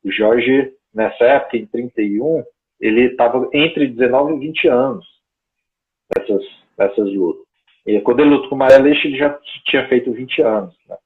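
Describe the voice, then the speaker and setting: A man's voice: medium pitch, lively intonation, normal speed. A man telling a long story in a casual, rambling way, often checking in with little tag questions, as a guest on a call. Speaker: guest researcher. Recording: tinny phone-like call audio.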